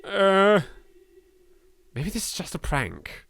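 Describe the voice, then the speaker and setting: A man's voice: high-pitched, wildly swooping pitch, slow pace, vocal fry, a bit bright, dry recording. A British man doing a let's play, reading horror game text aloud with theatrical expression and dropping in his own sweary asides. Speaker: video game let's player.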